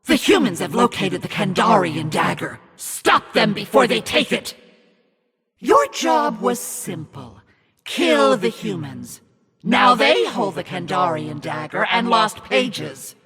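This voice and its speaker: A man voicing a menacing, scornful demonic narrator in a video game, commanding and mocking in a theatrical, raspy delivery. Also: high-pitched, very animated, takes it slowly, sharp tone, exaggerated voice. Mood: angry, commanding. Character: demonic evil narrator, menacing, scornful, imperious servant of darkness. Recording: long reverb over music.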